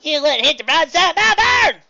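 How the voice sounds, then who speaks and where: A woman voicing a crude, rowdy pony fan yelling insults at a ball game. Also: very high-pitched, sing-song, fairly quick, vocal fry, dull audio, voice pushed up, full character voice. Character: rowdy pony fan, foul-mouthed heckler.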